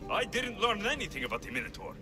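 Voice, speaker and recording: A sardonic mercenary. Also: male voice, high voice, sing-song, fast talker. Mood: dry. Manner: dry, skeptical wit. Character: dry, sardonic adult male mercenary hero. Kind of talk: video game voice acting. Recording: game music underneath.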